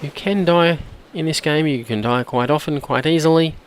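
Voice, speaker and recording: A man with a hoarse, croaky voice from a cold, chatting casually while he plays and often clearing his throat. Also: on the high side, normal speed, a little hiss or hum. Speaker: gameplay commentator. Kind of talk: casual let's-play commentary.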